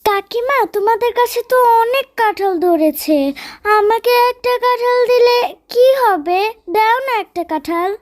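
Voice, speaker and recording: A woman voicing a sweet, pleading little animal girl in a children's cartoon, with a childlike pitched-up sound. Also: animated, normal speed. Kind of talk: children's cartoon story acting.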